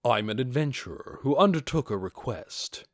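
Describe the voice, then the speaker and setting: A man voicing a calm, coldly confident armored adventurer with a measured, quietly mocking way of talking, for a fantasy audiobook. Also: mid-range voice, dry recording, clean studio sound, close to an everyday voice. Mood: cool, confident.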